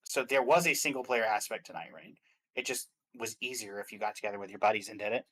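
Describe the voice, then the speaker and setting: A man's voice: lowish voice, fast talker, a bit bright, low-quality recording. A man chatting casually and giving his opinions as a guest commentator on games. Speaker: guest commentator on game awards stream.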